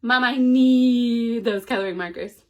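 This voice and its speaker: A woman's voice: a little high, unhurried, dull audio, lightly acted. A woman voicing a pushy young girl who keeps nagging her mother, in a whiny, insistent way. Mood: pleading.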